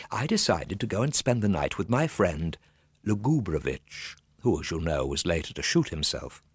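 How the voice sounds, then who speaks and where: Here is a gloomy, overwrought clerk telling a ghost story for radio. His low voice has a husky grain and swings widely in pitch. He speaks with theatrical suspense, as a man whose nerves are badly frayed. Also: no echo, studio quality, exaggerated voice. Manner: theatrical, suspenseful, dropping at times to hushed asides. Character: overwrought, gloomy male minor civil servant recounting his terror. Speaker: actor narrating a radio drama. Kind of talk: dramatic first-person ghost story narration for radio.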